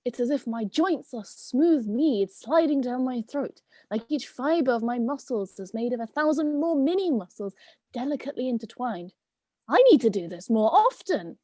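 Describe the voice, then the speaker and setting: Woman as a high, mellow, blissful goat. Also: animated, moderate pace, dry recording. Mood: blissful, amazed. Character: blissful magical goat, freshly revived, floridly delighted. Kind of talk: amateur dramatic reading of a myth.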